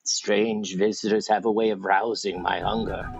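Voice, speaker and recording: A man's voice: lowish voice, level tone, moderate pace, vocal fry, slightly rough, no echo, highs cut off, soft background music. A man playing a genteel, wry London doctor in a radio play, with a fussy, exclamatory way of talking. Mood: genial.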